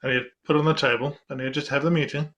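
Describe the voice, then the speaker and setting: A man hosting a podcast interview, relaxed and halting, dropping in "yeah" between thoughts. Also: medium pitch. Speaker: podcast host. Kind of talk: casual interview chat.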